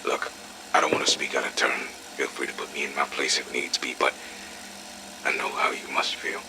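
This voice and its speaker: An actor playing a blunt but sympathetic, weathered army major in an audio drama. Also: male voice, extremely low pitch, level tone, moderate pace, background noise. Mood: earnest, sympathetic.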